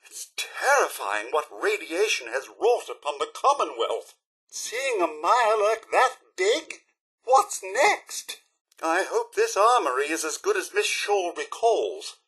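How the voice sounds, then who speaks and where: A man's voice: high-pitched, very animated, slow pace, sharp tone, husky, exaggerated voice, rough recording. A man voicing a prim, devoted, fretful robot butler in a video game, with a British accent and formal, polite, theatrical delivery. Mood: chatty, wry. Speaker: robot servant companion. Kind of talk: video game character dialogue.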